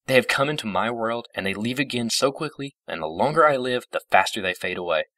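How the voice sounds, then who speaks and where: Man acting ageless storybook princess, female, solemn, formal, raised pitch, distant mic. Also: expressive, fairly bright tone, dry recording, lightly acted. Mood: indignant, grieving. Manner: formal, grave, measured.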